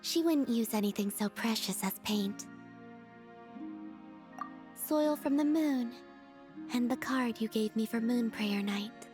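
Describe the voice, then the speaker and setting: A woman voicing a serene, gentle young moon goddess in a video game, speaking softly in a calm, wistful, formal way. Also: a little high, slow, long pauses, music in the background. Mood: calm, pleased.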